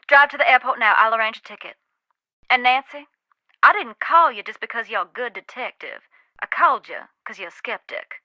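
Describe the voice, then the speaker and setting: Bright-voiced, folksy Southern ghost hunter. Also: female voice, on the high side, no room sound, lo-fi sound. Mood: stern warning. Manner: folksy, storytelling, emphatic. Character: haunted Southern woman, a warm, wary ghost-hunting spirit medium. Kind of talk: dramatic video game dialogue.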